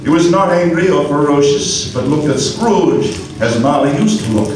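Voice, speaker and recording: A man narrating a live radio play, slow and measured, dropping low on key words. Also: lively intonation. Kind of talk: dramatic storytelling narration. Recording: echoey room from far off, noisy live stage.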